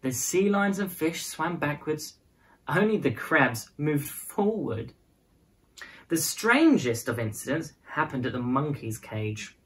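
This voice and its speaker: A woman reading a children's picture book aloud as a storyteller, with expressive, dramatized phrasing. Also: deep voice, slow pace. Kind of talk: scripted storybook narration in prose and verse.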